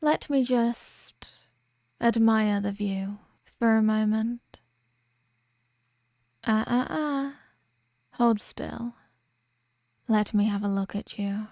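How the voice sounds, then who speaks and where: A woman's voice: fairly high pitch, mellow, no echo, clean studio sound. A woman playing a sadistic, dominant vampire in an ASMR roleplay, seductive and mocking, with elegant, formal endearments. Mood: seductive, admiring. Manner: slow, teasing, formal endearments, breathy murmurs.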